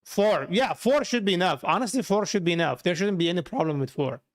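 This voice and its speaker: A man's voice: high-pitched, lively intonation, a little fast. A man with a Turkish accent and native Turkish, chatting casually on a livestream as he works and answers viewers. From Turkey. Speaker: livestreamer, software developer.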